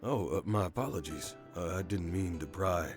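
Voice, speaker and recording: An actor playing a shy, kindly cowboy stable hand in a visual novel, hesitant, apologetic and polite. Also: male voice, low-pitched, lively intonation, normal speed. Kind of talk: visual novel character acting. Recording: guitar music behind the voice. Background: plucked guitar music.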